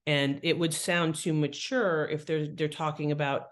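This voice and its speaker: A woman's voice: deep voice, fairly even intonation, normal speed. Woman explaining things in an interview. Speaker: guest, fiction writing author.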